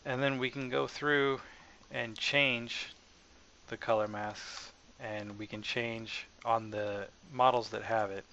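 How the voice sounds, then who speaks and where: A man narrating a casual software tutorial as the developer, informal and hesitant, as if thinking aloud. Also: medium pitch, fairly even intonation, takes it slowly. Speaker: software developer presenting own asset package. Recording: dull lo-fi mic with faint background noise.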